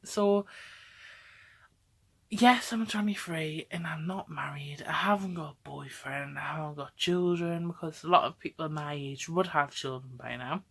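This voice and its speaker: A young woman in her twenties chatting straight to camera. Her voice sits low for a woman, and she rambles freely, tossing in quick "okay?" checks and little laughs as she goes. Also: vocal fry, slightly rough. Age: 20s. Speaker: vlogger. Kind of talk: casual talk to camera.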